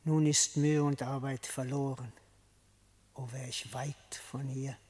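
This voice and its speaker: An actor playing a gloomy, fearful animal at court in a classic radio play, lamenting anxiously in verse. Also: male voice, mid-range voice, little pitch movement, a little slow, darkish tone, slightly rough. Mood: despairing, fearful.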